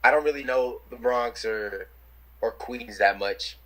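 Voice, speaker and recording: Young new guy on a video call, kinda husky, lots of "like" and restarts. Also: medium pitch, measured pace, a bit bright, rough recording. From New York City. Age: young adult. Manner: rambling, lots of fillers and restarts. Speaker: interviewee, rapper. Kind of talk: casual chat.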